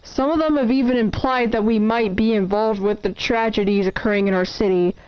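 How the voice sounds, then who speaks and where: A woman's voice: mid-range voice, steady tone, fairly quick, clean tone, natural voice. A woman playing a devout preacher who delivers a formal, solemn scripted sermon, urging a troubled flock to keep faith. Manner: formal, exhortative. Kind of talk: scripted sermon in analog horror drama. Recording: dull, noisy lo-fi broadcast sound.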